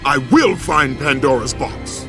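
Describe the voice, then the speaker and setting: An actor playing a grim, vengeful warrior in a video game cutscene, speaking in emphatic, defiant declarations. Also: male voice, on the high side, big pitch swings, a little slow, music in the background. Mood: defiant fury. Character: grim, vengeful adult male warrior serving a war god.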